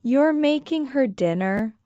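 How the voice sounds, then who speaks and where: A woman language teacher slowly repeating scripted lines for shadowing practice, enunciating each one with care. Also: sits high, lively intonation, warm tone, muffled recording, slight background noise. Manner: careful, deliberate enunciation.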